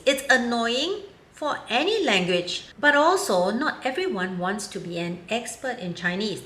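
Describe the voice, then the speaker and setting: A woman's voice: medium pitch, fairly bright tone. Frank woman in her fifties. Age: 50s. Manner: candid, opinionated and wry. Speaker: vlogger. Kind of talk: opinion commentary vlog. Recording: roomy echo.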